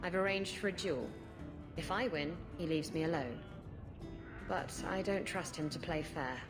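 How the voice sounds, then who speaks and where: A flirtatious female pirate captain from a fantasy game, played by a woman. Her voice sits on the low side, sultry and brazen, teasing as she talks. Music runs behind it. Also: moderate pace, natural voice. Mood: sly.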